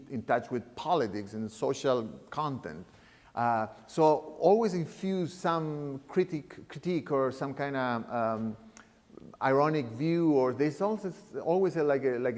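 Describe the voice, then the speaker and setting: A man talks about his art in a halting, searching way, often stopping to restart a phrase. His voice sits in a middle register. The recording carries a long, lingering reverb. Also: medium pitch, a little mellow. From Chile. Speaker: artist. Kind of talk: reflective interview talk. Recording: reverberant room sound.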